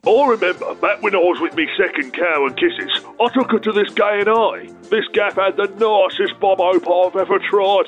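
A man's voice: sits high, moderate pace, few pauses, faint music behind, close to an everyday voice. A man playing an old Cockney man who recalls stories from long ago in a wistful, singsong way, heard as a muffled archive recording. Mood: nostalgic.

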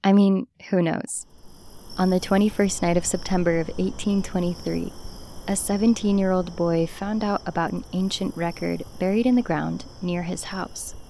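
Low, mellow woman narrating. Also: steady tone, moderate pace, gravelly, slight background noise. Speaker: podcast host. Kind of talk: scripted podcast narration.

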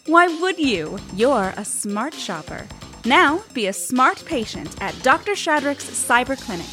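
A woman's voice: high-pitched, very animated, a little slow, pushed and bright, faint music behind, exaggerated voice. A woman playing a slick, upbeat advertiser for a cyber clinic in an audio drama commercial, persuasive and salesy. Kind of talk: commercial voiceover parody.

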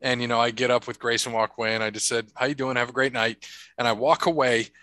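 A man's voice: mid-range voice, level tone, fast talker, bright, forceful tone, no echo. A man hosting a casual chat, rambling through stories and laughing often. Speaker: podcast host.

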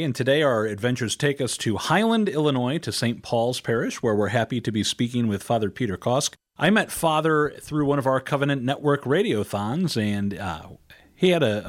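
A man speaks as a radio interviewer in a conversational style. His voice sits at an average pitch for a man.

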